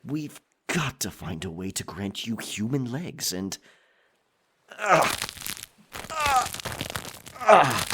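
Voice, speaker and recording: A man playing a possessive, roguish pirate captain in an ASMR roleplay, theatrical, teasing and dominant, with a husky, breathy voice. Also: fairly low pitch, noisy background, full character voice. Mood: scheming, reassuring.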